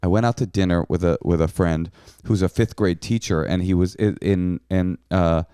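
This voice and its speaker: A middle-aged man with a low, smooth voice, slightly hoarse, rambling through casual podcast chat with self-mocking bravado. The sound is close and dry with no room around it. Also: steady tone, normal speed, warm tone, dry recording. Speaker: podcast co-host.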